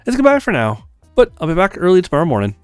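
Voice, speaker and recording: Man voicing a breezy young handywoman, swooping pitch. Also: wildly swooping pitch, fast, clean tone, no room sound, soft background music. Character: friendly, frank young female handywoman. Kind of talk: acted visual novel read-aloud.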